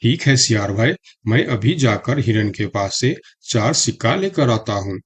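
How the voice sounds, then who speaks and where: A man's voice: lowish voice, lively intonation, moderate pace, slightly rough. A man voicing a gullible, innocent donkey in a children's cartoon story, naive and earnest. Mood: trusting, eager. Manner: naive, wide-eyed, earnest. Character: gullible, innocent male donkey, eager and easily fooled.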